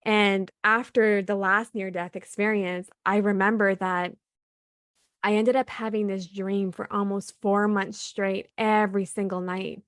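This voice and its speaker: Woman from Canada, guest storyteller, earnest and reflective, mid pitch, dry close mic. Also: level tone, unhurried, no echo. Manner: earnest, reflective, with frequent "like" fillers. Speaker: podcast guest. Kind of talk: personal storytelling interview.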